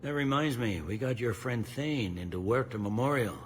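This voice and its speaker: An actor playing a gruff, plain-spoken middle-aged police commander in a video game cutscene. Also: male voice, mid-range voice, lively intonation, normal speed, slight background noise, lightly acted. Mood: grim, matter-of-fact. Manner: blunt, colloquial, no-nonsense.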